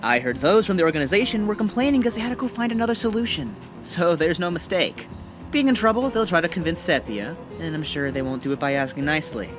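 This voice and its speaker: A man's voice: fairly high pitch, expressive, fast talker, music in the background, natural voice. A man acting a cocky, playful young gangster gunman in an audio drama, bantering in breezy slang. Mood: playful, animated.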